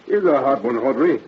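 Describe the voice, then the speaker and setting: Easygoing cop sidekick, very husky, lively pitch, slangy, on a muffled vintage radio recording. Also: male voice, heavily acted. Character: easygoing, slangy male police detective sidekick. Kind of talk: 1930s radio acting. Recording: dull old radio recording.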